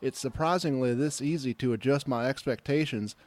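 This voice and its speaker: Sanctimonious conservative plotter played by a man, medium pitch, grandiose, very dry sound, comic radio drama. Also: brisk, a little mellow, no echo, close to an everyday voice. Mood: obsequious. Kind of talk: satirical radio drama acting.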